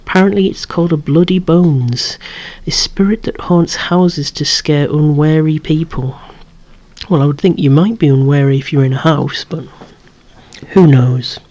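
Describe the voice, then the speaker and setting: A person whispering an ASMR show-and-tell of a collection in a hushed, breathy, unhurried way, musing aloud with wry asides. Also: medium pitch. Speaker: toy collector presenting a collection.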